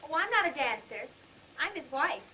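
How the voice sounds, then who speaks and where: Very high-voiced, plucky 1930s wife. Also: female voice, big pitch swings, moderate pace, pushed and bright, exaggerated voice. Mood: stubborn, feisty. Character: devoted, stubborn, plucky young wife of a reporter. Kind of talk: 1930s film acting. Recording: dull, faintly noisy 1930s film soundtrack.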